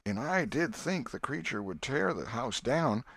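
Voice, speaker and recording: A man's voice: medium pitch, sing-song, unhurried, gravelly, a bit bright, husky, no room sound, pitched above the performer's own voice, exaggerated voice. A man voicing an elderly aunt in an audiobook, fond, scolding and grieving, with a folksy, plaintive manner. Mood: tearful, plaintive. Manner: folksy, plaintive, repeating herself in lament.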